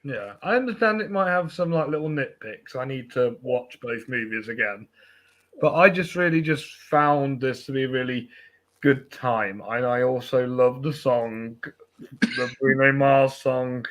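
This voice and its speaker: A man hosting a film podcast, chatting casually and haltingly, with false starts along the way. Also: mid-range voice, fairly bright tone.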